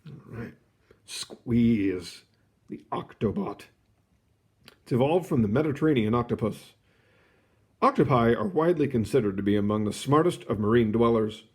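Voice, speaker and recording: A man reading a children's nonfiction book aloud, phrasing it clearly and adding short casual asides. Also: mid-range voice, a little mellow. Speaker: read-aloud host.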